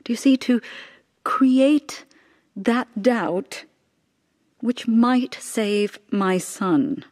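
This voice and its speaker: An actress playing a proud, desperate middle-aged mother in a radio drama, speaking in halting, deliberate, insistent phrases. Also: fairly high pitch, darkish tone, no echo, clean studio sound.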